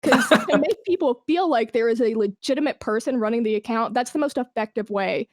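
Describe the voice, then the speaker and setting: A woman chatting casually as an interview guest, telling work stories in a candid, slangy, animated way. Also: on the high side, a little fast, dry recording, clean studio sound. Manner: candid, animated storytelling with slangy asides and acted-out quotes. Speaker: esports brand manager, podcast guest.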